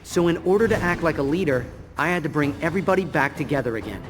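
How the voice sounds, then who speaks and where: An earnest young turtle leader, acted by a man in a video game. His voice is fairly high and lively, stern with dry sarcasm. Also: fast talker, background noise, natural voice. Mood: frustrated. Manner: stern, questioning, dryly sarcastic big brother. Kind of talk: animated video game cutscene acting.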